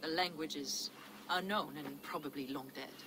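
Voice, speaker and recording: Low-voiced, enigmatic heretic played by a woman. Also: close to an everyday voice. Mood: cryptic. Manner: measured, cryptic, archaic phrasing. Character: enigmatic, devout heretic woman, collector of sacred relics. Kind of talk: dramatic video game acting. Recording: clear ambient noise behind.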